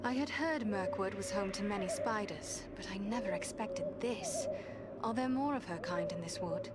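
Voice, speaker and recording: An actress playing a resolute young fantasy adventurer in a game, formal, calm and purposeful. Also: female voice, medium pitch, moderate pace, very noisy, lightly acted. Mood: inquisitive. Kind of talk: fantasy game character acting. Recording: loud music under dialogue.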